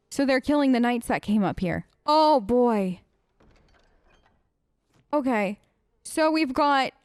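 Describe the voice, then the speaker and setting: A woman's voice: sits high, dry recording, studio quality. A woman giving casual, playful let's play commentary, expressively talking to herself and to the game's characters. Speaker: gaming video host. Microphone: close dry voice-over microphone.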